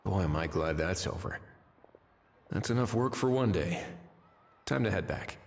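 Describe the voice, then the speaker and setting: A man voicing a shrewd, easygoing, world-weary official in a video game, with dry, measured authority. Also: deep voice, expressive, exaggerated voice. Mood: weary, relaxed. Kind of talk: animated video game voice acting.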